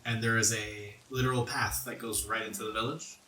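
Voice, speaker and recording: A man's voice: on the low side, fairly even intonation, a little fast, pushed and bright, a little hiss or hum. A man working as game master, narrating a tabletop game in an explanatory, descriptive way. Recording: roomy echo, faint music underneath. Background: faint background music.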